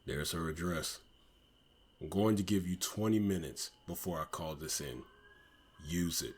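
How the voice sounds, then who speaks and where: A man playing a blunt, businesslike small-town police investigator in a comic dub, husky and matter-of-fact. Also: sits low, measured pace, a little hiss or hum. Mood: firm.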